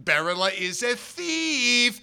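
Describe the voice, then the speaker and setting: Brief: male reader putting on a cruel old schoolmaster, high, lilting sing-song, slow and crisp. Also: very animated, bright, forceful tone, full character voice. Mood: mocking. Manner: taunting sing-song chanting. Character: stern mocking old male Hebrew schoolteacher.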